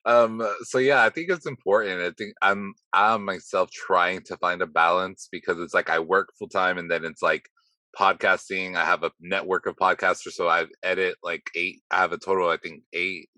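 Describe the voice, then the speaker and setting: A man hosting a podcast interview, slightly hoarse, chatty and self-deprecating as he rambles through his questions. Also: low voice, steady tone, moderate pace, bright, forceful tone, no echo. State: slightly hoarse from heavy talking. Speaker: podcast host. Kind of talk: casual interview chat.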